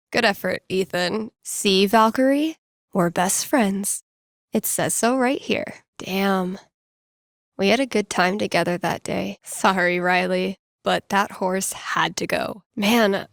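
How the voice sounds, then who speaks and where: An actress playing a sardonic, geeky young woman who works as a record store clerk and radio host, speaking in a casual, deadpan video game monologue. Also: medium pitch, creaky, no echo, clean studio sound. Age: young adult. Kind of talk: naturalistic video game voice acting, muttered inner monologue.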